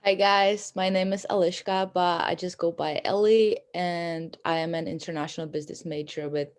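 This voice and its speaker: A woman's voice: lowish voice, steady tone, normal speed. A young woman from Prague, a native Czech speaker, introducing herself upbeat in English. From Prague, Czech Republic. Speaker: student panelist.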